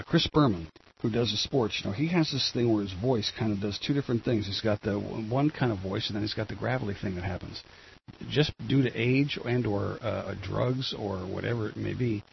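An older man with a gravelly voice, hosting a rambling solo commentary on the news, full of fillers and restarts. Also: on the low side, moderate pace. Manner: meandering, many fillers and restarts. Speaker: solo show host. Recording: dull lo-fi with faint noise.

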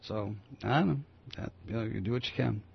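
An older man with a growly, gravelly voice hosting a casual talk radio show, rambling with many fillers and restarts. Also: fairly low pitch, fairly quick. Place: radio studio. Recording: dull lo-fi radio broadcast.

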